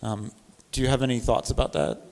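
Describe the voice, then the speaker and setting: A man moderates a festival panel, putting a long question together with small stumbles. His voice sits at an ordinary pitch for a man. A long hall reverb hangs behind him. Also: lively intonation, brisk.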